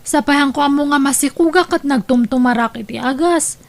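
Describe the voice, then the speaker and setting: Young village woman played by an actress, notably high voice, Ilocano accent, heartfelt audio-drama delivery. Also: a little fast. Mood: downcast. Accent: Filipino (Ilocano). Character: young village woman, daughter and little sister. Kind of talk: melodramatic radio-style drama acting.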